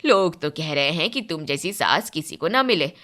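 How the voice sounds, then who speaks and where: A taunting middle-aged neighbour woman in a domestic story, acted by a woman. Her voice is low for a woman. She needles with sarcastic gossip and mock sympathy. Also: animated, normal speed, fairly bright tone.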